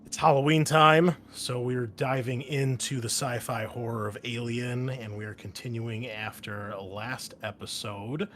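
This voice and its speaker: Casual podcast host and game master, mid-range male voice, energetic bursts, light hiss behind. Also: medium pitch, measured pace, hardly any pauses. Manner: upbeat, swings loud on key words.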